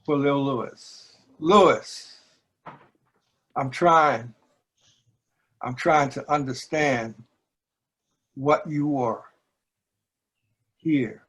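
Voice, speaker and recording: A man talks and reads poetry at a slow pace. His voice is husky and middling in pitch. He is deliberate and reflective, leaving pauses as he goes. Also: a bit bright. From New Orleans, United States. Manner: deliberate, pausing, measured. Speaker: book editor and poetry reader. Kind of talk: reflective discussion and poetry reading.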